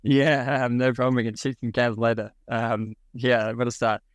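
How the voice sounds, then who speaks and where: A man's voice: medium pitch, little pitch movement, normal speed, no echo, studio quality. A man from New Zealand with a New Zealand accent, a podcast guest answering questions casually, with many fillers and restarts.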